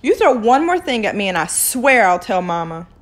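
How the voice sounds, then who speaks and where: A woman's voice: medium pitch, sing-song, normal speed, lightly acted. Brief: woman reading as a protective young girl in a storybook, earnest and plainspoken.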